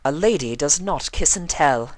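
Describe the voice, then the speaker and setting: A man acts out a proud unicorn lady for a story reading. The pitch is lifted well over his natural voice, with refined, theatrical phrasing and a dry, close sound. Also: expressive, normal speed, no echo, close to an everyday voice. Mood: coy. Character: proud, dramatic ladylike unicorn mare, deeply devoted.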